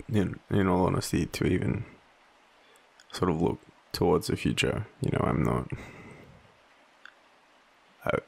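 Gravelly, low Australian man acting a devoted young boyfriend. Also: heavily acted. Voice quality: husky, gravelly. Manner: hesitant, halting, soft-spoken confession. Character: vulnerable, devoted young male partner opening up. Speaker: audio roleplay performer. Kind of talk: intimate boyfriend audio roleplay.

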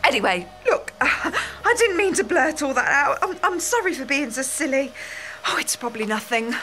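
An actress playing an anxious, apologetic British wife at the hairdresser's in an audio play, hesitant and flustered. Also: female voice, sits high, big pitch swings, moderate pace, bright, forceful tone, faint music behind, heavily acted. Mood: upset, flustered.

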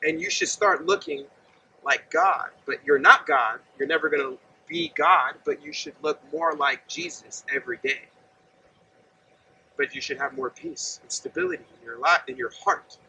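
A man's voice: a little high, huge pitch swings, moderate pace, creaky, pushed and bright, a little hiss or hum. A man in his thirties preaching earnestly and conversationally outdoors, standing and gesturing while he talks to a camera set some distance away. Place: outdoors by a gravel lot and fence. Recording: distant outdoor mic with faint ambience.

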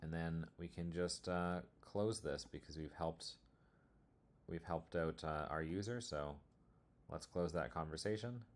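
A man presenting a software demo in a casual, explanatory screencast tutorial style. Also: deep voice, a little slow, noisy background. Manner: informal, explanatory.

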